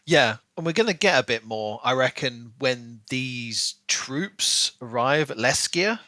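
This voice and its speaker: A man's voice: medium pitch, lively intonation, measured pace, bright, forceful tone, no room sound. A man co-hosting a podcast, chattily discussing a book and peppering his speech with "like" and "you know". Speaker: podcast co-host.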